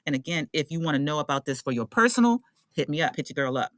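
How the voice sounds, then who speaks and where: Low-pitched woman with bright, forceful voice. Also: expressive, fast talker, no room sound. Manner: conversational, emphatic, uses rhetorical questions and slang. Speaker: astrologer, online show host. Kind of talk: casual spoken astrology forecast to camera.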